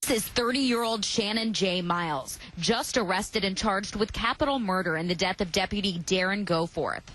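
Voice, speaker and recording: Female news reporter, mid-pitched voice. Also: moderate pace, fairly bright tone. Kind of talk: scripted crime report narration. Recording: faint background noise.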